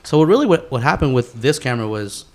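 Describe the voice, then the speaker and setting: A man's voice: mid-range voice, sing-song, fast talker, darkish tone. A man hosting a podcast in casual chat, peppering his slangy, sometimes profane talk with bro, dude and man. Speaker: podcast host.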